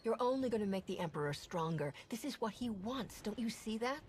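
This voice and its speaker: Woman voicing a resolute soldier hero, firm calm delivery, medium pitch, slight background hiss. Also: fairly quick, lightly acted.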